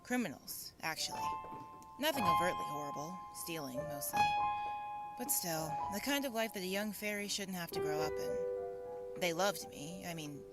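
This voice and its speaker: A woman's voice: fairly low pitch, lively intonation, normal speed, close to an everyday voice, rough recording. A woman playing a wry young female fairy in an audio drama, matter-of-fact about her hurt. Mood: wistful, matter-of-fact. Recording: music under the voice.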